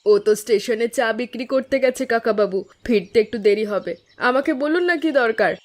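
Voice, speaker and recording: An actress playing a hardworking young wife who runs a small eatery in an animated cartoon story, earnest and steady. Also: female voice, a little high, natural voice. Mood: polite. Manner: earnest, quick-speaking. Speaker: cook, hotel owner.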